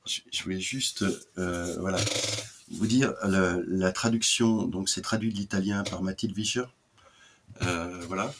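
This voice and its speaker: A man's voice: low-pitched, steady tone, a bit bright. A man hosting a radio book show, stumbling and repeating words as he speaks. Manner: halting, stumbling over words. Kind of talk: live radio book chat.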